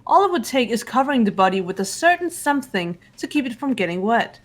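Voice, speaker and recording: A woman's voice: on the high side, big pitch swings, fast talker. A woman voicing a cool, analytical teenage girl detective in fan-made game dialogue, composed and assertive. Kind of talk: fan-voiced visual novel game dialogue. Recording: music behind the voice.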